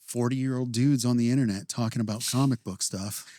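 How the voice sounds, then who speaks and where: A man's voice: mid-range voice, fairly even intonation, a little fast, mellow, no room sound. Laid-back man, dry close mic. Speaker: podcast co-host. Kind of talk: casual chat.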